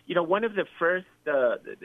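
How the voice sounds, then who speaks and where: A man's voice: high-pitched, animated, fairly quick, no room sound. A man, a historian answering questions as a guest on a radio show, thoughtful and hesitant with many restarts. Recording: tinny phone-line sound.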